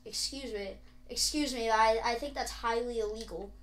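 High-voiced woman chatting over gameplay. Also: normal speed, echoey room. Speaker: gaming video co-host and player. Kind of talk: casual gameplay chat.

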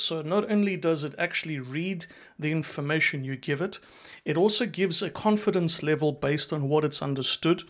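A South African man in his forties presents a tech tutorial. His voice sits a little higher than most men's. He explains things step by step, close to a desk mic in a small home studio. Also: a bit bright. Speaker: instructor, presenter. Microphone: close desk microphone.

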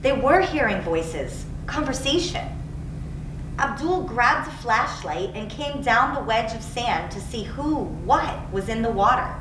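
Woman, fairly high voice, story reading, distant, noisy background. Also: animated, unhurried. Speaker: reader in a school community read-aloud. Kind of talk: scripted narration read aloud from a book. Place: indoors, seated before a cave backdrop. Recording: roomy, distant mic with clear background noise.

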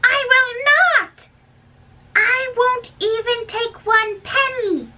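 A woman acting a fussy, chatty old nurse in an amateur dramatic play reading, with drawn-out delivery. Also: very high-pitched, bright, forceful tone, pitched above the performer's own voice, exaggerated voice. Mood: indignant, fussy. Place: small bedroom. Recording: distant mic, room noise.

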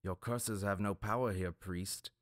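A man's voice: lowish voice, fairly even intonation, unhurried, no room sound, clean studio sound, natural voice. A man voicing a cold, scornful male pirate captain who lectures a prisoner in an audiobook.

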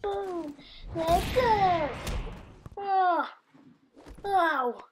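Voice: very high-pitched, extremely slow, background noise. A child improvising an amateur voice-over for a cartoon. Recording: music behind the voice.

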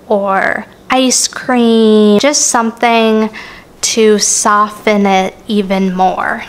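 A woman in her thirties hosting a food review vlog, moving between scripted narration and casual comment in a measured, deliberate way. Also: mid-range voice, a bit bright. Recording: echoey home room.

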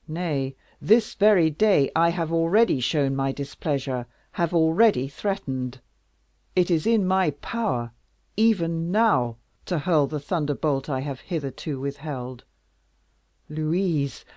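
A reader playing a passionate, powerful king who declaims loudly in a slow audiobook reading, recorded dry and close. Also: medium pitch, animated, no room sound. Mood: impassioned fury. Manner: loud, impassioned, declamatory. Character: passionate powerful male king, lovesick and wrathful.

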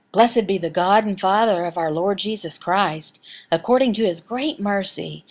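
A woman delivers a sermon as a voice interpreter. Her voice sits at an average pitch and has a clear creak in it. She moves earnestly from phrase to phrase, pausing between them as she reads and explains scripture. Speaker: voice interpreter for a signed Bible teaching.

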